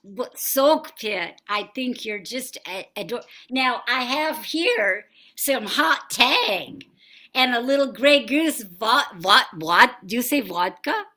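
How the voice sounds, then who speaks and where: A woman's voice: fairly high pitch, animated, slow pace, sharp tone, full character voice. A woman playing a chatty, flirty Texan woman with a put-on Southern accent who gushes nonstop about herself. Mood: fawning. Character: brassy chatty Texan woman, flirty self-proclaimed hot mama.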